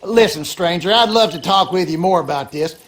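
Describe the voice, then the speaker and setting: An actor playing a gossipy, superstitious backwoods husband in a video game, with a rural Louisiana accent and folksy, colloquial talk. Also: male voice, sits high, a little fast, fairly bright tone, a little hiss or hum. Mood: confiding. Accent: rural Louisiana Southern American. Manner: folksy, drawling, colloquial storyteller. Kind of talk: animated game character dialogue.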